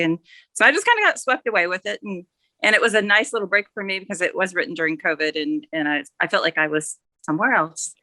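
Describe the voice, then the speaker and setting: A woman's voice: on the low side, bright, forceful tone, no echo. A mature woman chatting casually as an author guest, rambling a little and correcting herself as she goes. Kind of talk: casual interview chat.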